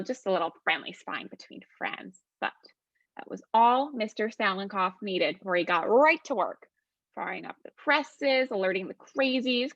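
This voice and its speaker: A woman's voice: mid-range voice, fairly bright tone, no room sound, highs cut off. A woman playing a snarky, casual demon who is proud of corrupting humans, in a theatrical comic monologue. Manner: sardonic, casual, digressive.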